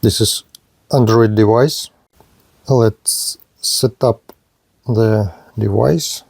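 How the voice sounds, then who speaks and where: A man presents a gadget at a very slow pace, in short halting phrases. His voice is mellow and relaxed at a middle pitch, recorded close and dry. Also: gravelly, slightly rough, dry recording. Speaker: gadget reviewer. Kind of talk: unboxing and product review narration.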